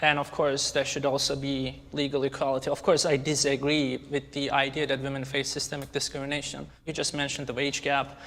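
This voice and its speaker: A man in his thirties, speaking in a measured, assertive way as a debate participant. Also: mid-range voice, completely flat delivery, fairly bright tone. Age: 30s. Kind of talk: filmed debate. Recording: reverberant room.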